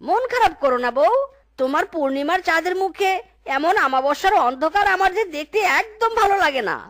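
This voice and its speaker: A woman's voice: extremely high pitch, lively intonation, fairly quick, fairly bright tone. A woman voicing a hapless, henpecked fox husband who exclaims, complains and coaxes in a comic cartoon folk tale. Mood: coaxing. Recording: children's cartoon music behind the voice.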